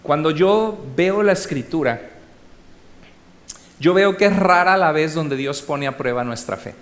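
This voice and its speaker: A man preaching a sermon as pastor, emphatic, asking the congregation rhetorical questions and swinging between loud exhortation and quiet asides. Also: fairly high pitch, unhurried.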